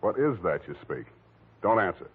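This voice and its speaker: Hard-boiled gumshoe, wry and tired, rough-edged husky voice, old radio detective show, dull narrow-band audio. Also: male voice, sits low, normal speed, exaggerated voice. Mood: dry, uneasy. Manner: deadpan, sardonic. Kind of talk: 1940s radio detective acting, first-person narration. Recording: muffled, narrow-band 1940s radio recording.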